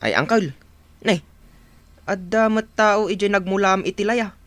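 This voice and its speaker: An actor playing a sheepish, apologetic younger village neighbour in a radio drama, with an Ilocano accent. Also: male voice, high voice, a little slow, fairly bright tone, no room sound. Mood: nervous, placating.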